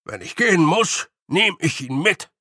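Audiobook role: rustic, defiant old man played by a male reader, slow delivery, deferential but cheerful, close dry sound. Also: a little high, big pitch swings, pushed and bright, husky, dry recording, pitched above the performer's own voice, exaggerated voice. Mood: cheerfully defiant. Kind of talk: audiobook character voice.

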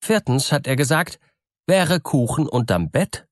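A man voicing a sly, smooth-talking peasant trickster in a fairy tale, measured, knowing and teasing. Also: medium pitch, lively intonation, dry recording, clean studio sound, pitched above the performer's own voice, lightly acted.

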